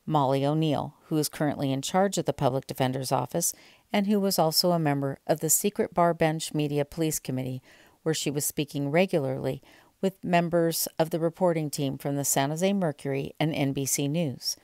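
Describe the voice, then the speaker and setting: A woman's voice: deep voice, brisk, a little mellow, dry recording, clean studio sound. A woman in her thirties, an independent court reporter, speaking earnestly and pointedly to camera in an indoor hallway. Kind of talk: to-camera commentary.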